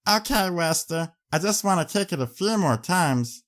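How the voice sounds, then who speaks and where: A man voicing an innocent young female pony in an audio story, with a soft, questioning manner. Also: sits high, lively intonation, moderate pace, pitched above the performer's own voice. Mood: playful.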